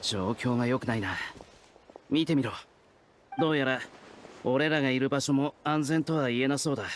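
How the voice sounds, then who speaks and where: An actor playing a serious, brisk male soldier in a video game. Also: medium pitch, slight background noise, natural voice. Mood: grave. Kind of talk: video game voice acting in Japanese.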